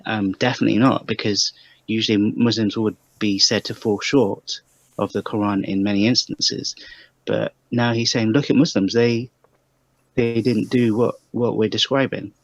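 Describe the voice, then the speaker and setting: Gravelly man, dry dull recording. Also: fairly low pitch, steady tone, moderate pace, no room sound. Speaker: panelist on a commentary show. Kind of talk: casual critical commentary. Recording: dry, slightly dull lo-fi microphone.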